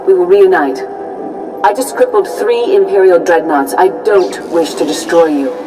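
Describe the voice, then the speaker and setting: An actress playing a serene, firm Jedi grandmaster in a video game, calm, formal and authoritative. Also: female voice, lowish voice, moderate pace, recorded from a distance, background noise, natural voice. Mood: calm resolve.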